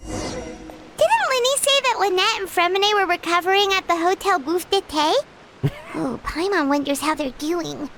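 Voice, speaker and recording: A woman's voice: animated, unhurried, background noise, exaggerated voice. A woman voicing a tiny, childlike fairy companion in an anime video game. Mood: concerned. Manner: refers to self in third person, squeaky, childlike. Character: tiny cheerful floating fairy-like girl companion. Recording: music playing behind the voice.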